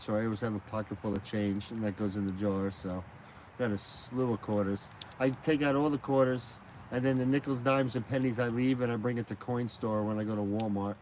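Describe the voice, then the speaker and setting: A man's voice: lowish voice, darkish tone. Chatty man streaming from an outdoor fire. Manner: rambling, chatty, laughs easily. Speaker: live streamer. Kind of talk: casual live-stream chat. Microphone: phone on a gimbal. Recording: noisy outdoor phone stream.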